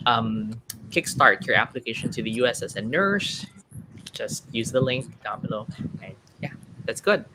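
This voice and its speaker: A man with a somewhat high voice, coaching test takers in a live online Q&A, explaining in a friendly, rambling way with lots of fillers. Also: sing-song, normal speed, background noise. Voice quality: fairly high-pitched for a man. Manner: explanatory, friendly, thinks aloud with many fillers. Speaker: PTE speaking coach. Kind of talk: live webinar Q&A.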